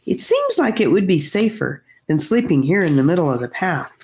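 A woman reading as a calm, sure young boy. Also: on the low side, normal speed, background noise.

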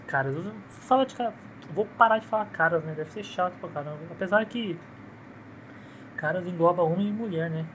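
A man's voice: high voice, fairly quick, fairly bright tone, slight background noise, poor audio. A man with a Brazilian accent hosting a solo podcast, rambling in a cynical, profane and self-mocking way while holding his phone to record. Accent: Brazilian Portuguese. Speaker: podcast host. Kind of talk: casual solo podcast monologue. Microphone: phone held in one hand.

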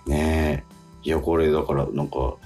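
A man's voice: a bass voice, level tone, normal speed, mellow, faint music behind. A man hosting a radio talk show, chatting casually with his guest and co-host in a studio.

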